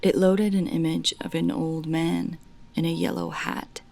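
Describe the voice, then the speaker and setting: A woman narrating a scripted first-person creepy story in a measured way, with dramatic pauses between short phrases. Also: low voice, level tone, gravelly, dark tone, faint music behind.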